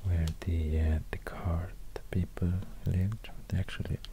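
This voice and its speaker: A man with a Swedish accent speaking in soft ASMR whispers, halting and murmuring as he goes. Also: low voice, little pitch movement, noisy background. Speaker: ASMR video host. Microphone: close binaural microphone.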